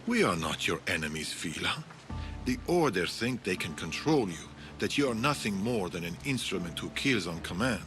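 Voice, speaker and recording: An actor playing a solemn warrior in a video game, speaking in a grave, earnest and measured way. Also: male voice, low-pitched, sing-song. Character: solemn male warrior urging mercy and humanity. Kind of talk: dramatic video game acting. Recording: music behind the voice.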